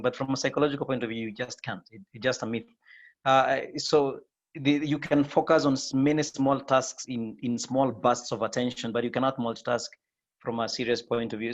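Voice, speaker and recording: A man, a lecturer and TV host, speaking hoarsely with a flu, hesitant with many fillers and restarts. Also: medium pitch, highs cut off. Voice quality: raspy. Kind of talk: panel discussion answer.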